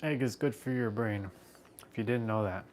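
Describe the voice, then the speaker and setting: A man's voice: mid-range voice, moderate pace, darkish tone, a little hiss or hum. A Canadian-born man hosting a live stream, chatting casually as he cooks and answers viewer questions. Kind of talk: casual live-stream chat while cooking. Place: home kitchen.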